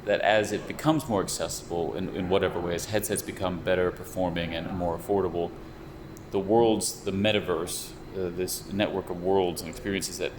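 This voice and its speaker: A young man with a very low voice talks through his ideas slowly and carefully, often restarting a phrase. An air conditioner hums steadily behind him. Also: fairly even intonation. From United States. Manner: thoughtful, measured, with frequent restarts. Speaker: VR researcher and developer. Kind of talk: explanatory interview talk. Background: air conditioning hum.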